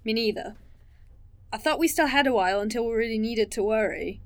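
Actress as conscientious woman, higher-pitched, caring yet conflicted, natural audio drama dialogue, dry close sound. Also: brisk, no room sound, lightly acted. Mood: uneasy, reasoning.

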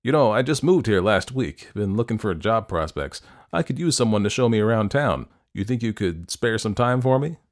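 Man as charming young guy, relaxed, teasing, confident, storybook romance. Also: on the low side, normal speed, hardly any pauses, natural voice. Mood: confident, inviting.

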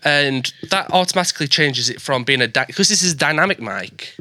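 A man with a naturally high, bright voice chats and jokes his way through a casual podcast conversation. He is recorded close on a studio microphone with a dry sound and no room echo. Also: lively intonation, brisk. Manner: chatty, joking, self-deprecating. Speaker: podcast host. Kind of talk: casual chat. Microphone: dynamic studio mic with preamp.

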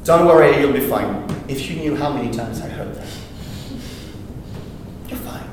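A man's voice: medium pitch, expressive, normal speed. A man from France with a French accent, giving a wry, emphatic public speech at a forum launch. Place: large event hall. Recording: echoey hall from far off, noisy.

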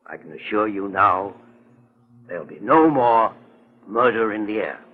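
A man playing a kindly, assured older gentleman detective in a 1940s radio drama. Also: on the low side, expressive, a little slow. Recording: dull lo-fi 1940s radio recording.

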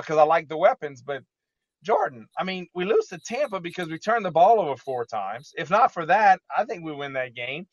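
A man's voice: on the high side, fairly bright tone, dry recording, dull audio. A man hosting a sports talk segment, chatting casually about a football team and often repeating words as he starts a thought. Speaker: radio sports host.

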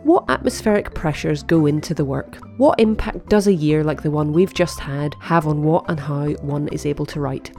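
Low-voiced woman presenting a podcast. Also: moderate pace, few pauses, a little mellow, faint music behind. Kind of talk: scripted introduction.

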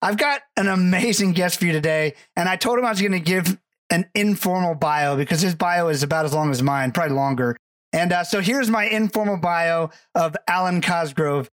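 A man's voice: high voice, brisk, fairly bright tone, no echo, distant mic. A man hosting a podcast interview who tells rambling, friendly stories full of fillers. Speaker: podcast host.

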